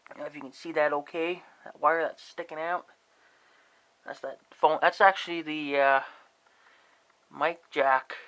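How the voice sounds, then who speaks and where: Man, smooth clean voice, casual home demo, rambling, halting, informal. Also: fairly high pitch, steady tone, sharp tone. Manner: halting, informal, thinking aloud. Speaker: amateur video creator demonstrating an app. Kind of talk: casual rambling demonstration to viewers. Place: indoors in own room at home.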